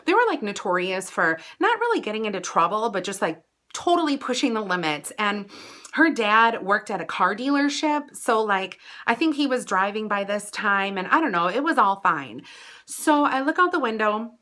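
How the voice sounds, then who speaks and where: An American woman chats to her viewers as a vlogger telling a story from her own life. Her voice is bright and punchy. She is animated and casual, leaning into dramatic emphasis as she relays who said what. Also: medium pitch, moderate pace. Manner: animated, chatty, dramatic emphasis with acted-out quotes. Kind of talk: casual personal storytelling to camera.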